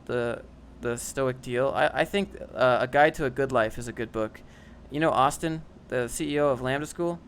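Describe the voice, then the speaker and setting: A man's voice: mid-range voice, a little hiss or hum. A young man casually reading and answering chat on a livestream, dry and sarcastic, often rambling.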